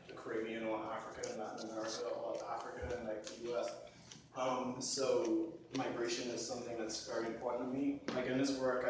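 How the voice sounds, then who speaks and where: A man from Haiti and the Bahamas talks informally as an artist about his work. He thinks out loud in filler-laden phrases. The recording is very reverberant and distant, with clear noise behind him. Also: medium pitch. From born in Haiti, grew up in the Bahamas. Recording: echoey room, distant mic, clear background noise.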